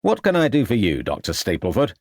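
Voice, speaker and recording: A man's voice: lowish voice, wildly swooping pitch, brisk, darkish tone, dry recording, clean studio sound, exaggerated voice. A man voicing a polite, dutiful police detective in an audiobook, formal and restrained. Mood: calm, placating. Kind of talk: audiobook character acting.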